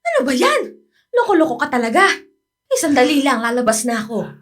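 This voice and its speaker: A fairly high female voice plays an older mother in a radio romance. She is affectionate, bashful and a little cautious. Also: sing-song, a little fast, no echo, clean studio sound. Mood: bashful, teasing. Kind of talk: radio drama acting.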